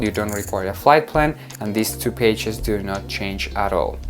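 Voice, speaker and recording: A man hosting a casual app walkthrough, explaining things informally step by step. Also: medium pitch. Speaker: tutorial host. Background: background music.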